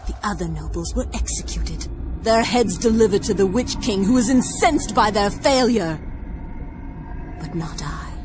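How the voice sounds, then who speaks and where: An actress playing a haughty, vengeful dark elf commander in a video game, imperious, menacing and theatrical. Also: female voice, medium pitch, expressive, measured pace, over music, natural voice. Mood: bitter, vengeful. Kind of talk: scripted video game cutscene acting.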